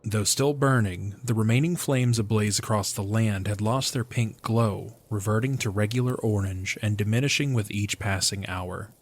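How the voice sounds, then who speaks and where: A man's voice: on the low side, moderate pace, few pauses. A man giving scripted narration of a fantasy audio drama as its narrator.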